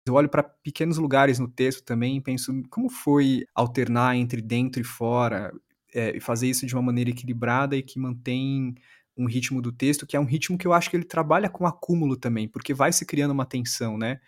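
A man's voice: medium pitch, fairly even intonation, a little fast. A Brazilian man as an interviewer, reflective and building his thoughtful questions gradually.

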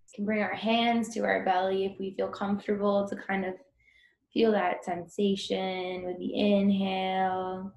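A woman guiding a breathing meditation in a gentle, informal and unhurried instructional manner. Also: mid-range voice, flat delivery, echoey room, lo-fi sound. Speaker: meditation guide.

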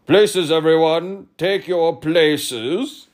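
A man voicing a huge, irritable old king who booms out slow, commanding orders. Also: big pitch swings. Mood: imperious.

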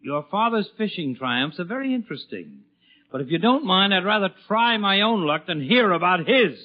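A man's voice: high voice, very animated, normal speed. An actor playing a blustering, sarcastic middle-aged father in a theatrical, put-upon 1940s radio comedy style. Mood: strained politeness. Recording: muffled old 1940s radio broadcast.

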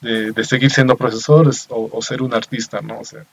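A man's voice: mid-range voice, steady tone, brisk, creaky, breathy edge, poor audio. A man with a Bolivian accent who hosts a casual chat reviewing a film, rambling with many fillers and tag questions. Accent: Bolivian Spanish.

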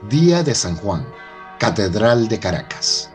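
A man's voice: fairly low pitch, very animated, a little slow, loud music behind the voice. A man giving dramatic scripted narration for a radio soap opera.

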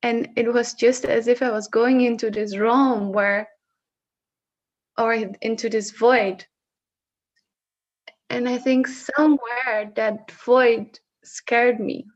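A woman from the Netherlands with a Dutch accent, sharing personal reflections on a video call in a slow, searching way with frequent restarts. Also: fairly high pitch, steady tone, muffled recording. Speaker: session facilitator.

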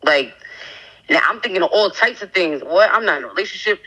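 A woman in her thirties, a podcast guest sharing a candid personal testimony in a halting way, with many fillers and restarts. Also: lowish voice, animated, sharp tone, breathy edge, highs cut off. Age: 30s.

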